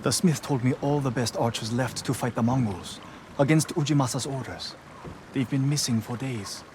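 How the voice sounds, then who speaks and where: A man playing an earnest, nervous young blacksmith in a video game, hesitant, gentle and deferential. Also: mid-range voice, moderate pace, noisy background, close to an everyday voice. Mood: matter-of-fact. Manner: hesitant, soft-spoken, deferential. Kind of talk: dramatic video game acting.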